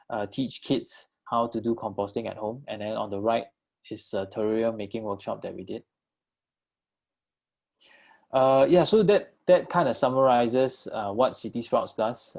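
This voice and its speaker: Hesitant low, gravelly man presenting. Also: a little mellow. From Malaysia. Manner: halting, many fillers and restarts. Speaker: co-founder presenting his enterprise. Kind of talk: virtual talk with live questions.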